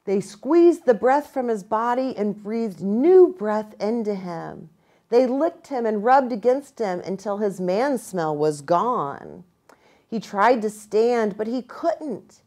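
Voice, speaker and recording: A middle-aged American woman narrating a children's storybook in an expressive, deliberate way. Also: mid-range voice. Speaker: pastor reading to children. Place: small furnished room, indoors.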